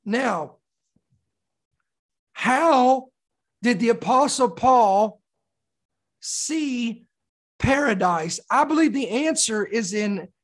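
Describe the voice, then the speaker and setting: A preacher delivers an expository sermon in a mid-pitched, slightly raspy voice. The voice sounds mildly hoarse from a sore throat. Key words are stressed deliberately, and phrases are repeated for emphasis between readings of scripture. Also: expressive.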